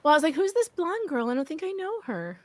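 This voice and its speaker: A woman in casual livestream chat, animated and expressive, given to exclamations. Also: sits high, fast, no room sound. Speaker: livestream guest.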